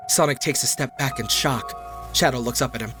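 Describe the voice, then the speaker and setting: A man's voice: mid-range voice, a little fast. A man reading dramatic narration aloud for a comedy fandub, prone to cracking up. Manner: prone to cracking up mid-line. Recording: music behind the voice.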